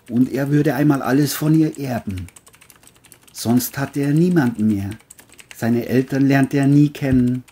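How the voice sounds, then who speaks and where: A mellow male crime writer acted by a man in a radio play. His husky voice is formal and deliberate. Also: medium pitch. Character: boastful male bestselling crime novelist. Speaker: writer and narrator of his novel.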